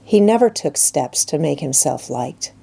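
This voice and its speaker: A woman voicing a calm, pious schoolgirl friend in a storybook reading, measured, earnest and gently reproving. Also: low-pitched, gravelly, slightly rough, exaggerated voice. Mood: calm, reassuring. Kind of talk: dramatised audiobook reading.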